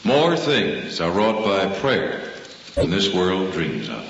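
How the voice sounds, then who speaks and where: An actor playing a reflective grown son who narrates in vintage radio drama style. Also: male voice, low-pitched, wildly swooping pitch, slow, heavy background noise, full character voice. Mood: solemn. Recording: muffled old radio recording over orchestral music.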